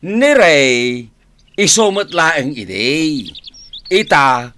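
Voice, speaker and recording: A man's voice: fairly high pitch, very animated, extremely slow, sharp tone, no echo, full character voice. A man in a radio comedy drama playing a stubborn, joking husband who likes his drink and shrugs off illness.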